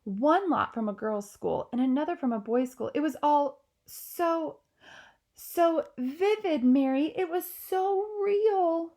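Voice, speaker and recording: A woman's voice: sits high, expressive, slow pace, a little mellow, voice pushed up, exaggerated voice. A woman putting on an elderly queen, posh and alarmed. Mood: alarmed, refined. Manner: refined, exclamatory, posh phrasing. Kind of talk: storybook read-aloud.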